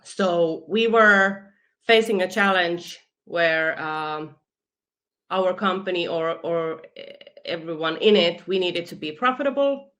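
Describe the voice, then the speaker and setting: Woman, Finnish accent, bright clear tone, eager interview guest, video call. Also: lowish voice, slow pace. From Finland. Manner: enthusiastic, laughs often, restarts words. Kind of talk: conversational interview.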